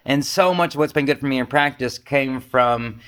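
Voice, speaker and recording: Man with a mid-range voice, informal and self-deprecating, leading a relaxed group discussion. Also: medium pitch, moderate pace, smooth. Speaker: Zen group discussion leader. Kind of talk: casual spiritual discussion.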